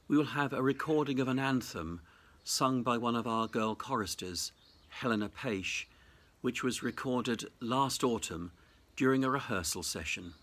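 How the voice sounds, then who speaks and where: Bright-voiced clergyman giving a measured reflection. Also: mid-range voice. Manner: measured, reflective, deliberate phrasing. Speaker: Anglican cathedral dean. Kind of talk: Easter sermon reflection.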